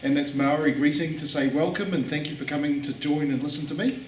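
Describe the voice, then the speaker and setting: A man giving a relaxed conference talk, repeating words for emphasis, with pauses for an interpreter. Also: a little high. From New Zealand. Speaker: guest speaker. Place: conference hall. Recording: echoey hall, distant mic, faint noise.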